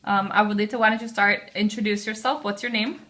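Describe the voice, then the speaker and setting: A woman's voice: medium pitch, fairly even intonation, a little fast, pushed and bright. A woman interviewing her grandmother in a casual family conversation, leading with friendly questions.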